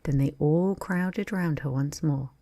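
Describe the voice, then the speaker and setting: A woman's voice: sits low, a little slow, mellow, dry recording. A woman narrating a children's bedtime story as storyteller.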